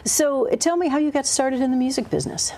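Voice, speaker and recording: A woman's voice: sits high, brisk, mellow. A woman hosting an interview show, introducing her guest and asking questions in a conversational way.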